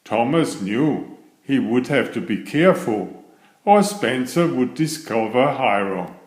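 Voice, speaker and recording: A man reading a children's bedtime story aloud in a deliberate, expressive storytelling manner. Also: medium pitch. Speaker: storybook reader. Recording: roomy, echoey sound.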